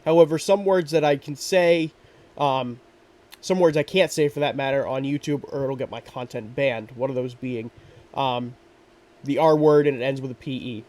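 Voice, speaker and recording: A man's voice: medium pitch, steady tone, normal speed, a little mellow, distant mic. A man hosting a true crime podcast, sounding congested and stuffy from allergies, talking earnestly with frequent ums and restarts. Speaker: podcast host.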